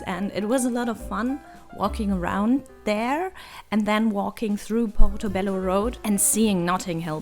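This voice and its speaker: A young woman from Germany with a hoarse, raspy voice and vocal fry, chatting through a travel vlog voice-over in a rambling, self-deprecating way. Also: medium pitch, a little mellow. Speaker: travel vlogger. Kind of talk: voice-over narration.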